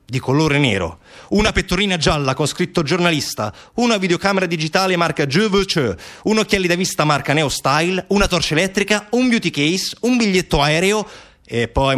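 Man playing a pedantic, stammering official, higher-pitched. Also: a bit bright. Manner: repetitive, pedantic, rhetorical questions, stammered hesitations. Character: blustering male official justifying a police raid. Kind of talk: theatrical monologue.

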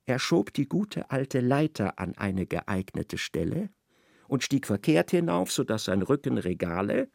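Male narrator, literary radio drama, animated pitch swings, gravelly creak, dry close sound, expressive. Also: unhurried, no echo.

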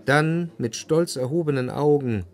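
An actor playing a reflective first-person narrator in scripted literary radio narration. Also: male voice, fairly high pitch, measured pace, natural voice.

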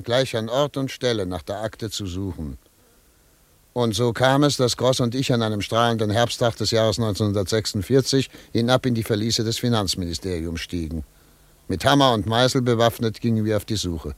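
Actor as wry, dramatic investigator, dry studio sound. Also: male voice, mid-range voice, no echo, lightly acted. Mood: dramatic narrating. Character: wry male postwar investigator, excitable, recounting his case. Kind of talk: German radio play acting and narration.

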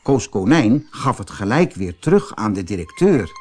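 Male audiobook narrator for kids' tales: expressive, highly varied intonation, light musical backing. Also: moderate pace, soft background music. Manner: expressive, dramatised reading. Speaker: storyteller. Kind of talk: scripted children's audiobook narration.

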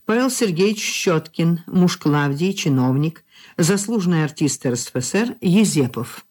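A woman radio announcer reading credits in a measured, formal, scripted style. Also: on the low side, lively intonation, a little mellow.

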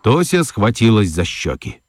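A man's voice: lowish voice, wildly swooping pitch, a little slow, no room sound, studio quality. A man narrating a children's story as a scripted radio narrator. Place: dry studio.

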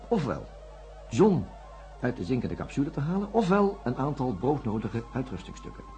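Radio-drama narrator, male: measured, dramatic storyteller, lively intonation, music underneath. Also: medium pitch. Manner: deliberate storytelling with rhetorical pauses. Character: male narrator recounting an astronauts' disaster, measured and reflective.

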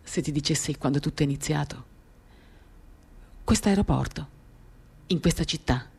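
A woman giving a dramatic reading of a literary first-person monologue, measured and expressive, in short phrases. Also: low voice, gravelly, breathy edge. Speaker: reader of a novel for radio theatre. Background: acoustic guitar.

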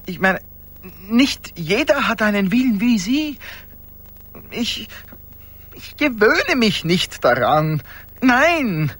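A man's voice: high-pitched, big pitch swings, slow pace, pauses often, fairly bright tone, full character voice. An actor in a German radio play playing a weary, aimless office clerk, hesitant and apologetic in the way he talks. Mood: weary, complaining.

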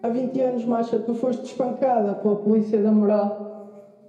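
An actress in a radio drama playing a gentle revolutionary woman who soothes and patiently explains things to a confused friend. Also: a little high, steady tone, normal speed, roomy sound, lo-fi sound, natural voice. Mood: earnest.

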